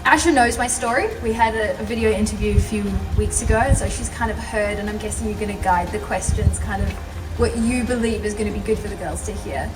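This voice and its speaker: A woman's voice: mid-range voice, fast talker, few pauses. A young woman telling her personal story as a guest speaker, passionate and chatty, laughing often. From South Africa. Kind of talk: personal story at a live event. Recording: roomy, from far off, music behind.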